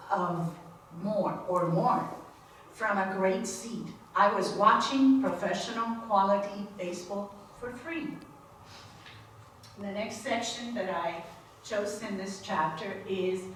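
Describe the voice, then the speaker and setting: A woman's voice: mid-range voice, recorded from a distance. A woman reads aloud from a memoir at a book signing, taking her time and going back to fix her own slips. She sits well back from the microphone, so her voice rings around a very echoey room. A faint noise runs underneath. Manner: unhurried reading, correcting her own slips.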